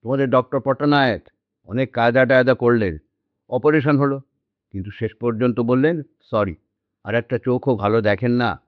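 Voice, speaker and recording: A man's voice: medium pitch, moderate pace, no room sound, lightly acted. An actor playing an anxious, lonely old man in a radio drama, speaking hesitantly and repeating his words. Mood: weary sorrow. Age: older. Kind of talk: Bengali radio drama acting. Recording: dull lo-fi audio with background music.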